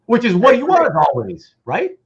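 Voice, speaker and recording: A man's voice: very high voice, wildly swooping pitch, normal speed, breathy edge, dull audio. A man hosting a casual livestream about comic art, reading out chat comments and rambling with repeated words and self-interruptions.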